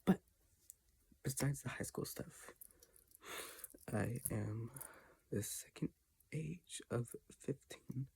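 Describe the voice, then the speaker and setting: Very husky, gravelly teen vlogger. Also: mid-range voice, warm tone. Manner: halting, with pauses and restarts, often half-whispered. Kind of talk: casual personal vlog. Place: own bedroom, indoors.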